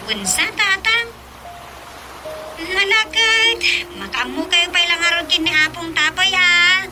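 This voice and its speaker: A very high, concerned young relative speaks in an Ilocano accent, in the manner of a radio comedy drama. Music plays clearly behind the voice. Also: female voice, expressive, measured pace, rough recording. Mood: fussing, concerned.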